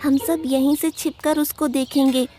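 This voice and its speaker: A woman's voice: high voice, steady tone, normal speed, dark tone, faint music behind, pitched above the performer's own voice, close to an everyday voice. A woman voicing a clever, polite little boy bird in an earnest, childlike way for a children's cartoon. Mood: earnest, confident. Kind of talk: Hindi children's cartoon story acting.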